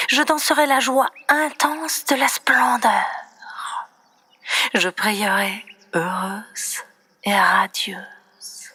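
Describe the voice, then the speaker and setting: A woman reciting poetry in a breathy, often near-whispered voice, with solemn, dramatic and deliberate phrasing. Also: fairly low pitch, sharp tone. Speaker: poet reciting own poems. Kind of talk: slow expressive poetry recitation.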